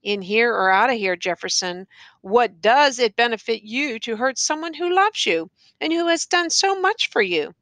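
A woman's voice: on the high side, animated, moderate pace, fairly bright tone, no room sound, pitched above the performer's own voice. A woman voicing an earnest, exasperated male schoolteacher who pleads insistently in educated diction in an audiobook story. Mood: pleading.

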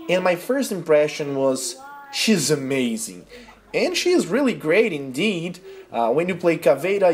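A man from Brazil with a Brazilian accent and Portuguese as his first language narrates a game guide in casual, enthusiastic, non-native phrasing. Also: fairly high pitch. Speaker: video game guide creator. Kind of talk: casual tutorial narration over gameplay.

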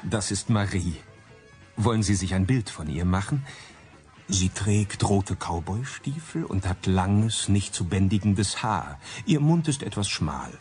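A man playing a radio drama narrator who addresses the listener directly with deliberate descriptions. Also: on the low side, lively intonation, darkish tone, soft background music. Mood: wry, descriptive. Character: male narrator addressing listeners, painting scenes in words.

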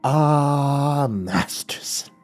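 A man voicing a giant scornful serpent devil that hisses its words slowly and low. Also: soft background music. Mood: gloating. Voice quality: hissing, low, drawn-out. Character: hissing serpent devil, huge, scornful, commanding.

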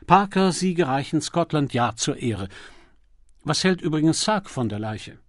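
A man voicing an urbane, teasing aristocratic gentleman detective in an audiobook crime story. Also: fairly high pitch, lively intonation, moderate pace, no room sound, studio quality, natural voice. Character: witty aristocratic gentleman sleuth, keen and teasing.

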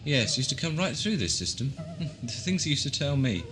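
Male actor as chatty space crewman, very animated yarn-spinner, mid pitch, noisy tape. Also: a little fast, lightly acted. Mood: relishing the tale. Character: talkative spacer spinning his grandfather's tales. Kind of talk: audio drama acting.

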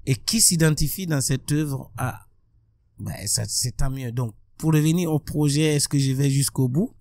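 Man with a gravelly voice. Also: mid-range voice, a little mellow, dry recording. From Burkina Faso. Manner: thoughtful, halting with many restarts. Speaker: musician guest. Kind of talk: reflective interview talk. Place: bright room with plants and large windows. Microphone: studio mic on a boom arm.

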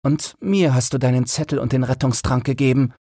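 A man's voice: mid-range voice, steady tone, brisk, warm tone, dry recording, clean studio sound, voice pushed up, lightly acted. A man voicing a grateful, earnest young slave woman in a fairy tale. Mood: amazed.

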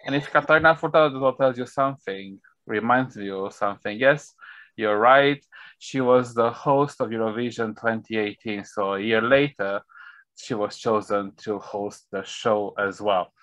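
A man's voice: lowish voice, moderate pace, fairly bright tone, dry recording. A man in his thirties hosting a panel review over a video call, reading out the running order and stumbling on foreign names. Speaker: host. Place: home room with shelves. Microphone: webcam on video call.

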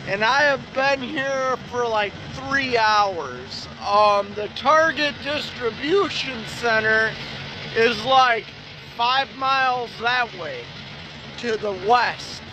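Slow-talking trucker man. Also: very high-pitched. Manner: relaxed and rambling, many ums. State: feeling unwell, tired. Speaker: truck driver vlogger. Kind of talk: casual vlog narration. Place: truck cab and outdoor truck lots. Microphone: phone or dash camera. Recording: noisy outdoor truck yard audio. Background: engine and traffic noise.

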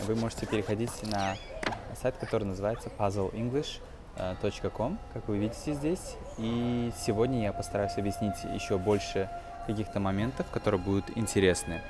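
Low-voiced young man teaching English, music behind. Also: moderate pace. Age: 20s. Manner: hesitant, explaining phrase by phrase. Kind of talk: informal film-based language lesson.

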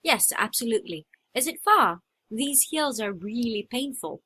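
A young adult woman acting out short scripted everyday dialogues for a listening test, with clear expressive delivery. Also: on the high side, normal speed.

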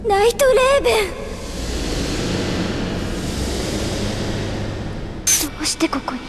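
High-voiced young fighter pilot. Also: female voice, sing-song, rapid-fire, background noise, full character voice. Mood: shaken, searching. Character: wary, wounded young female fighter pilot. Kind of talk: anime game voice acting. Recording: loud music over game cutscene dialogue.

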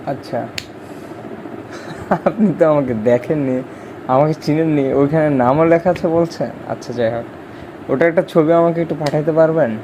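A man's voice: on the high side, moderate pace, pauses often. A young man, a content creator talking casually on a phone call and to viewers, politely admonishing. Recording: clearly noisy background hum.

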